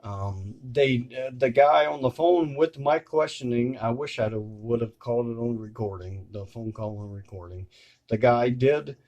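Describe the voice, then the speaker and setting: Slow-talking man with a mellow voice. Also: medium pitch, dull audio. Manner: rambling, hesitant, repeats and corrects himself. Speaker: video creator. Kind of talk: casual unscripted monologue to viewers.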